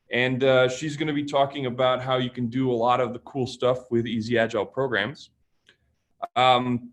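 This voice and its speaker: A man hosts a software webinar in a plain, mid-range voice. He explains terms in a relaxed way, with frequent small fillers, over a simple web-call mic. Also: mid-range voice. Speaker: solutions engineer and webinar host. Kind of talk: webinar presentation.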